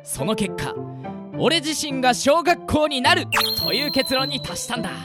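An actor voicing an excitable teenage schoolboy with shameless creepy tastes, over-reacting dramatically in a comedy radio drama. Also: high-pitched, moderate pace. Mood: earnest, deadpan. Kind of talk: anime-style comedy radio drama acting. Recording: loud music bed under the voice. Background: music.

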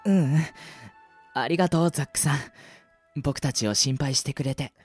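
A man acting a loyal, gentle young adventurer boy in an amateur radio drama, speaking earnestly and politely. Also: medium pitch, moderate pace, breathy edge, faint music behind, natural voice. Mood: sincere. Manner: soft-hearted, earnest, polite.

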